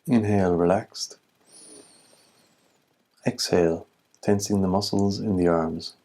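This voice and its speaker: A man giving guided meditation instruction as a teacher, in measured short phrases with long pauses. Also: low voice, steady tone, mellow.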